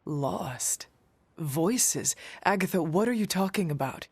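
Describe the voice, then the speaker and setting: A formal, earnest ancient warrior. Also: female voice, on the low side, animated, slow pace, husky, dry recording, heavily acted. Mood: puzzled. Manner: formal, stiff, no contractions. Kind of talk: video game voice acting.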